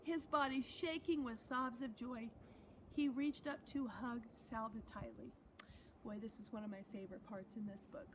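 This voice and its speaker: A woman's voice: fairly high pitch, noisy background. A woman teacher reading a children's novel aloud to her students, expressively, with chatty asides.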